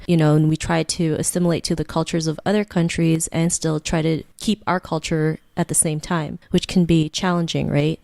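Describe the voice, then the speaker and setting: Low, mellow-voiced woman hosting a podcast. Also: no room sound, studio quality. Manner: affirming, repeats thanks, frequent "you know" and "right?". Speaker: podcast host. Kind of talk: interview hosting.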